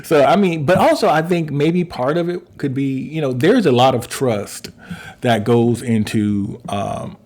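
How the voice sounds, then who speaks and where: Man in his forties, relationship coach, casual and polite, thinks out loud with lots of fillers. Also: mid-range voice, a little slow. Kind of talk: casual conversational chat.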